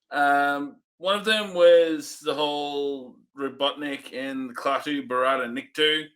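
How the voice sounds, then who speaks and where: A man's voice: medium pitch, a bit bright. A man co-hosting a casual movie review, listing points in an easygoing way with frequent "um" and "uh". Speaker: co-host.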